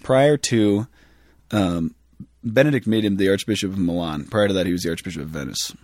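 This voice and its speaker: A man's voice: sits low, a little fast, a little mellow, dry recording, clean studio sound. A man in his thirties co-hosting a podcast in casual chat, joking and laughing, stumbling over foreign names. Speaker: podcast co-host.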